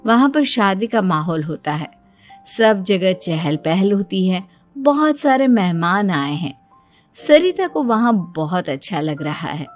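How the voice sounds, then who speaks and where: A woman's voice: mid-range voice, big pitch swings, slow pace. A woman narrating a scripted cartoon family story as a storyteller. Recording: background music under the voice.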